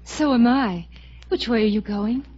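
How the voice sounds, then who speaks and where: An actress playing a romantic, playful young showboat captain's daughter in a 1950s radio musical, with wistful theatrical delivery. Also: female voice, a little high, a little slow, a little mellow, natural voice. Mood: playful, coy. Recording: muffled 1950 radio broadcast, orchestral music.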